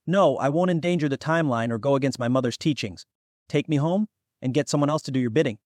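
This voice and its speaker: A man's voice: medium pitch, quick pace, darkish tone, no echo, studio quality, close to an everyday voice. A man voicing an earnest, cautious young demigod who protests and takes offence easily, in a fantasy audiobook. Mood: firm refusal. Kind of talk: fantasy audiobook character acting.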